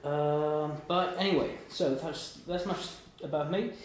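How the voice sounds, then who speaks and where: A man's voice: a little high, fairly even intonation, measured pace. Hesitant young man in a very echoey room. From Germany and Italy. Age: 20s. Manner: hesitant, rambling, self-deprecating. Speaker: student presenter giving a talk. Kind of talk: informal live presentation. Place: indoor room at a small meetup. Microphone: distant room microphone. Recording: echoey room, distant mic, background noise.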